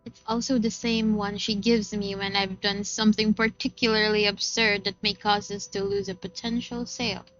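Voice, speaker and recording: A woman's voice: mid-range voice, little pitch movement, normal speed, hardly any pauses, fairly bright tone, dull audio. A woman streamer reading visual novel narration aloud, with casual reactions of her own.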